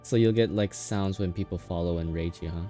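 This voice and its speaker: A man's voice: sits low, brisk, warm tone, faint music behind. A man livestreaming a game, chatting and reacting in an offhand, slangy way. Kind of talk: casual chat and reactions over gameplay.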